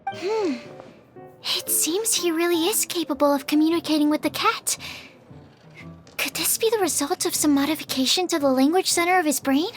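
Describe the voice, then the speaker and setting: A woman's voice: very high voice, normal speed, faint music behind. A woman voicing a shy, curious young scientist who muses analytically, in a game. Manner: soft, analytical, musing.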